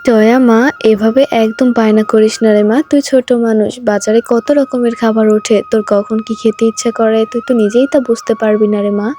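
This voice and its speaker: A woman plays a tired, hard-up cartoon mother with a mellow, fairly high voice. She explains things with affectionate exasperation, and music runs underneath. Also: steady tone, a little fast, lightly acted. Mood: weary explaining. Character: weary, poor, gently scolding mother. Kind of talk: children's cartoon dubbing.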